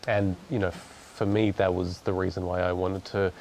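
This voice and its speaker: Soft-spoken man in his thirties. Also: low voice, flat delivery, normal speed, vocal fry, a little hiss or hum. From Australia. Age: 30s. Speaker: guest musician. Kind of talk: casual interview chat.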